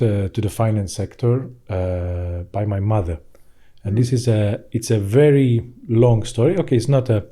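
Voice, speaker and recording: Dark-voiced Hungarian man, fairly low. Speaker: podcast guest, financial services professional. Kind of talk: conversational personal storytelling. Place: podcast studio.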